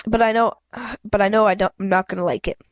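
A woman's voice: medium pitch, steady tone, quick pace, no room sound, muffled recording, close to an everyday voice. A woman voicing a scared, defiant fourteen-year-old boy in a storybook, stammering and protesting.

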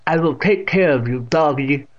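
A man voicing a stern, eerie deaf old woman of at least eighty in a storybook reading, with slow, drawn-out, commanding speech. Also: fairly high pitch, highs cut off, pitched above the performer's own voice, lightly acted. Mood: impatient, insistent.

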